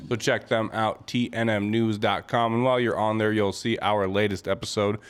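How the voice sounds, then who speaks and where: A man's voice: lowish voice, level tone, normal speed, smooth. A young man hosting a casual podcast, talking in laid-back slangy banter. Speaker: podcast host. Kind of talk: casual chat.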